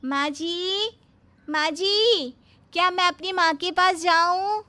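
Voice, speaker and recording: A woman's voice: slow pace, bright, forceful tone, no echo, full character voice. A woman voicing an eager, overly obedient young daughter-in-law who talks sweetly and takes everything literally. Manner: sweet, literal-minded, high and singsong.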